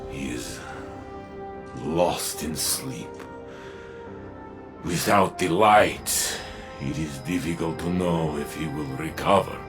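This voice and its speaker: An actor playing a solemn, weary, tender warrior in a video game, speaking in a subdued, halting way. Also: male voice, extremely low pitch, music in the background. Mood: solemn, relieved grief.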